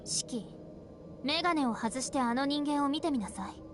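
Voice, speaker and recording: A woman's voice: high voice, fast talker, noisy background, lightly acted. A woman playing a cold, haughty young woman in a Japanese visual novel, speaking in a curt, commanding way. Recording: music behind the voice.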